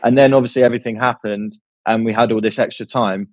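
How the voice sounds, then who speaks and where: Bloke in a band, rambly casual interview chat, bit of music behind him. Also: male voice, mid-range voice, fairly even intonation, quick pace, highs cut off.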